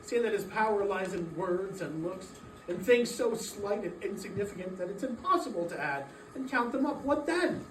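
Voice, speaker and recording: A woman playing a troubled, defensive male miser in a dramatic reading, with earnest, halting delivery. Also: medium pitch, expressive, lightly acted. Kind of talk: dramatic reading of a Victorian story. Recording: roomy, distant mic, faint noise.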